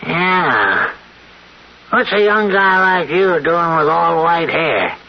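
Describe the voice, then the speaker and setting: An actor playing a gruff, skeptical man in his seventies, impatient and dismissive, in old-time radio drama style. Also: a little high, expressive, slow. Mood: weary, wry. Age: 70s. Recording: dull lo-fi old radio recording.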